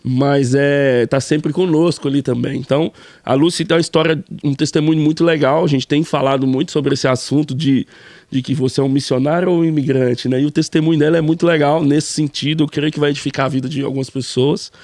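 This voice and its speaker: A man's voice: fairly high pitch, fairly even intonation, brisk, a little mellow, no room sound. A man, a pastor, co-hosting a casual church podcast and repeating friendly affirmations.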